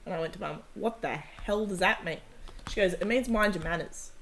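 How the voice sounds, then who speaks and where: A woman's voice: fairly low pitch, brisk, fairly bright tone, a little hiss or hum. A woman hosting a casual comedy chat podcast, talking in a playful, chatty way with some swearing. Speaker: podcast host.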